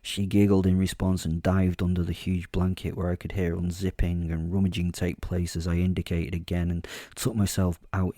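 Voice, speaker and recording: A man narrates a dark audio drama in a very low register. His voice is soft-edged and mellow, recorded close and dry, with a measured storytelling delivery. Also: no echo. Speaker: narrator and voice actor. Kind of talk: first-person audio drama narration, horror fiction.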